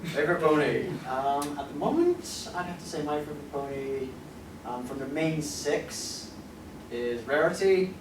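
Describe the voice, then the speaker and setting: A man's voice: on the high side, expressive, measured pace. A man speaking informally on a convention panel, thinking aloud with hesitations and repeated phrases as he answers questions. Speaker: convention panelist from an audio drama group. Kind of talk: informal panel talk and audience Q&A. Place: convention panel room, indoors. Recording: roomy, distant microphone, noisy convention room.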